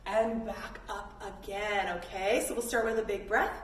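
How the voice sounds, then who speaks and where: A woman's voice: medium pitch, steady tone, normal speed. A woman leading a playful yoga lesson for children, encouraging and speaking to them directly as friends. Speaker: kids yoga instructor. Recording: roomy echo, distant mic, faint noise.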